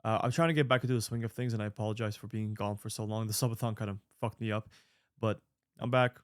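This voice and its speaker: A man's voice: on the low side, steady tone, fast, dry recording, clean studio sound. A man in his late twenties giving casual, earnest advice to viewers, rambling with fillers and restarts. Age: 20s. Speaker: online creator answering viewer mail.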